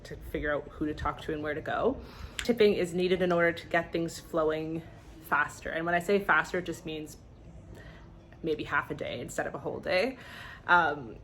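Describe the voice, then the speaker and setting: A young Canadian woman vlogger with a fairly low voice speaks casually and frankly, sharing her opinions as if chatting to viewers. Her talk is sprinkled with "like" and "you know", over noticeable background noise. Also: a bit bright.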